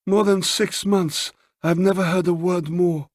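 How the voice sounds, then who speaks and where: A man's voice: sits high, steady tone, measured pace, no room sound, studio quality, voice pushed up. A man voicing an anxious, desperate woman visitor with formal, insistent phrasing in an audiobook story. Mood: pleading, troubled.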